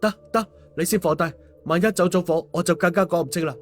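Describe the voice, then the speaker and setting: A man voicing an excitable, cowardly, complaining man in an audiobook. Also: high voice, fast talker, soft background music, lightly acted. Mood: frightened pleading. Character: excitable, cowardly, wheedling man who protests loudly. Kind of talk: audiobook character dialogue.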